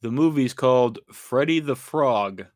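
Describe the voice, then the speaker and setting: A man's voice: medium pitch, measured pace, darkish tone, no room sound, studio quality. A man hosting a podcast, chatty and upbeat as he leads the casual talk. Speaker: podcast host. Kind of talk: casual chat.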